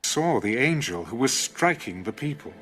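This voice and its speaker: A man's voice: medium pitch, sing-song, normal speed, a bit bright, breathy edge. A man narrating scripture from a script in a formal, solemn manner.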